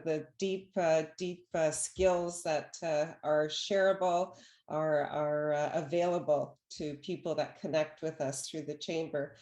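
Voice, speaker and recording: A woman hosting a video call meeting, introducing speakers with many pauses and restarts. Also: fairly low pitch, darkish tone, roomy sound. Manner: hesitant, many fillers and restarts. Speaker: host.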